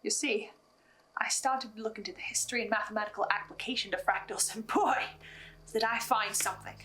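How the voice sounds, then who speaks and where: A woman's voice: mid-range voice, a little fast, fairly bright tone, a little hiss or hum, rough recording. A woman hosting a commentary in a dramatic, emphatic manner, speaking to the listener as she lays out theories. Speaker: host recapping a horror audio drama. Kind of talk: theorizing commentary, scripted with asides.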